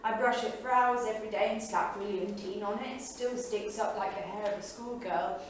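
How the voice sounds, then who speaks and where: Actress as an eccentric bohemian wife, distant and echoey. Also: female voice, medium pitch, moderate pace, close to an everyday voice. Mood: matter-of-fact, self-absorbed. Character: eccentric, candid, unstable young bohemian wife. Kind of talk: staged dramatic monologue reading. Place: small studio theatre, staged reading. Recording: echoey theatre, distant mic, faint noise.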